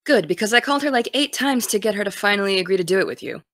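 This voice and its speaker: A woman's voice: medium pitch, lively intonation, very fast, a bit bright, no echo, clean studio sound. A woman playing a cautious, caring teenage podcaster in an audio drama, conversational and a little fretful. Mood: uneasy, wry. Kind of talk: naturalistic audio drama dialogue.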